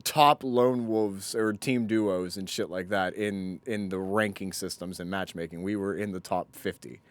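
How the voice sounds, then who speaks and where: A man's voice: low-pitched, fairly even intonation, a little slow. A young adult man co-hosting a podcast, reminiscing in casual, slangy banter. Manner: informal, slangy. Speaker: podcast co-host.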